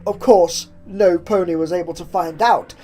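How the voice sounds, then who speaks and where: A woman reading a horror story aloud as a narrator, with deliberate, theatrical emphasis. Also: fairly low pitch, steady tone, mellow, faint music behind. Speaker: narrator reading fan fiction.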